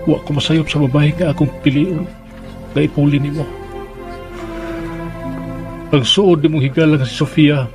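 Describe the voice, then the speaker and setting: A man playing a middle-aged widowed father with a Filipino accent in a solemn radio drama monologue, tender, sentimental and measured. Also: a little high, close to an everyday voice.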